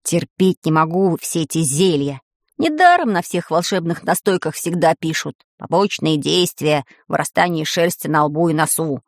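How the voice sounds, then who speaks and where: A man's voice: extremely high pitch, animated, a little slow, a bit bright, dry recording, clean studio sound, full character voice. A woman voicing a curious, grumbling schoolboy wizard in a storybook, in an informal way.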